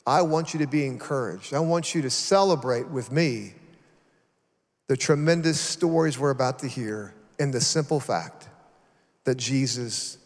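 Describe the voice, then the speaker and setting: A man speaking as a pastor to his congregation, earnest and emphatic, building up lists of words. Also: medium pitch. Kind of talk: addressing the congregation. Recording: long hall reverb.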